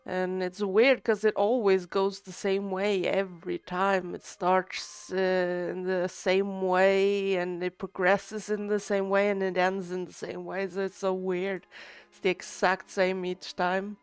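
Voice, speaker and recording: Stuffy-nosed woman streamer talking slowly. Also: mid-range voice, level tone, smooth, no room sound. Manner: rambling, chatty. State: stuffy nose from a cold. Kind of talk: casual let's-play commentary. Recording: faint game music behind.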